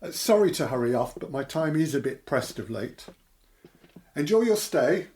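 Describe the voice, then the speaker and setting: An actor playing a polite, formal and slightly hesitant solicitor in an audio drama. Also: male voice, fairly high pitch, sing-song, moderate pace, darkish tone. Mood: polite, hurried. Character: polite, hurried male estate solicitor.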